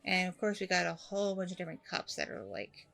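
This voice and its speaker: Woman acting as chatty single mom on a blind date, kinda low creaky voice, candid n rambly, casual asmr roleplay style. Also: big pitch swings, fast, fairly bright tone, full character voice. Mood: friendly, chatty. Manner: rambling, candid, informal, with conversational hesitations.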